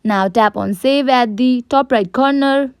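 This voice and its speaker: A woman's voice: high voice, measured pace, darkish tone, clear, resonant tone, dry recording, studio quality. A woman narrating a step-by-step app tutorial, addressing viewers directly with simple instructions. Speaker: tutorial presenter.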